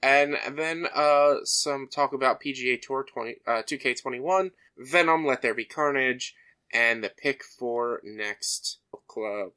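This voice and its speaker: A man's voice: mid-range voice, measured pace, pushed and bright, smooth. A man co-hosting a podcast, chatting loosely with lots of fillers and running through the night's agenda. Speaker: podcast co-host. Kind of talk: casual chat.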